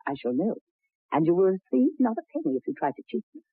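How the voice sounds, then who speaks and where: A woman's voice: lowish voice, very animated, fast, husky, no echo. An actress playing an imperious, wealthy old lady in a radio horror drama, speaking in a commanding, genteel, theatrical way. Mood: stern, commanding. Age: older. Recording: dry, tinny old radio broadcast.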